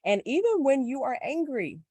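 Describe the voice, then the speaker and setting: A woman with a low, gritty voice, chatting casually and reflectively as a guest, with lots of filler words. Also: sing-song, normal speed, mellow, breathy edge, no echo. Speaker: podcast guest. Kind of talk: casual spiritual chat.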